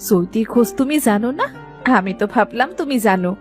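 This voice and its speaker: A woman's voice: a little high, lively intonation, quick pace, close to an everyday voice. A woman playing a guarded, nervous village sister-in-law in a cartoon story, speaking in a chatty but evasive way. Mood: teasing, reproachful. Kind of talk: dramatized Bengali cartoon story dialogue. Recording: music behind the voice.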